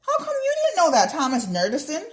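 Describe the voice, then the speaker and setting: A woman's voice: high voice, wildly swooping pitch, a little fast, roomy sound, voice pushed up. A woman voicing a sassy, skeptical girl in a storybook, with a teasing manner. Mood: mocking.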